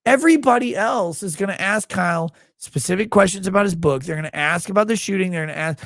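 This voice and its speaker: A tired man with a gravelly voice, hosting a livestream and signing off in a rambling, blunt, profane way. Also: high voice, quick pace, a bit bright, dry recording, clean studio sound. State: tired, low on sleep. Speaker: livestream host. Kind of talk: casual livestream sign-off chat.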